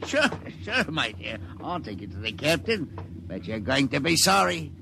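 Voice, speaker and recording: A man's voice: mid-range voice, sing-song, moderate pace, gravelly, loud music behind the voice. An actor playing a wily, mocking spaceship crewman with jaunty, teasing slang, in 1950s radio drama style. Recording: noisy vintage 1950s radio recording.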